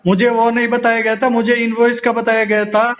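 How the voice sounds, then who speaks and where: High-voiced male customer on a phone line. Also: flat delivery, brisk. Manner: firm, insistent, repetitive. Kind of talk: phone complaint. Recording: tinny phone call recording.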